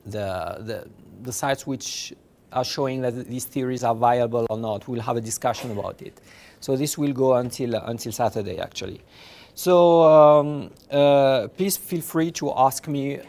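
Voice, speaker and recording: A man with a French accent giving an informal university lecture, halting and repeating words as he goes, in an approachable way. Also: medium pitch, a little mellow. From France, originally from Morocco. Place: lecture room with blackboard.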